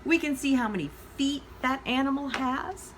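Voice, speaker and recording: A woman hosting a story time for young children, giving simple instructions and asking encouraging questions in a deliberate, sing-song way. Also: sits high, slight background noise, poor audio.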